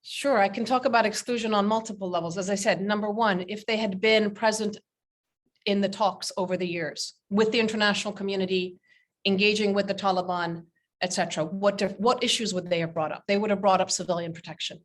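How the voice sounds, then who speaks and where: A woman's voice: medium pitch, fairly even intonation, fairly quick. A woman speaking emphatically and indignantly on a panel over a video call, repeating words for force. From Britain. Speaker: panel speaker, head of a peace-building organization. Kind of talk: impassioned panel talk. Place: indoor room with framed pictures, on a video call.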